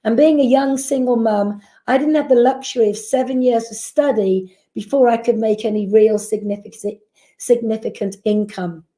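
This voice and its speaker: A woman's voice: fairly high pitch, steady tone, normal speed, dark tone, reverberant. A woman giving a persuasive business presentation, asking rhetorical questions and answering them. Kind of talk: sales presentation.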